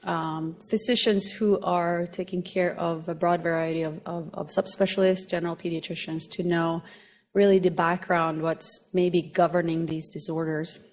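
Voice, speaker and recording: A woman from Finland with a Swedish accent, giving an academic medical lecture to a room of doctors in an explanatory, gently humorous way with frequent hesitations. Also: fairly low pitch, level tone, normal speed. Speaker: grand rounds lecturer, pediatric gastroenterologist.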